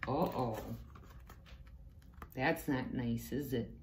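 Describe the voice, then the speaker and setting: Female story-time reader: slow and expressive, talking to young children, roomy sound with faint noise. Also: a bass voice. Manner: expressive, explains pictures to young listeners. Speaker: teaching assistant reading a storybook to children. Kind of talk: read-aloud story time with asides to children. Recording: echoey room with faint background noise.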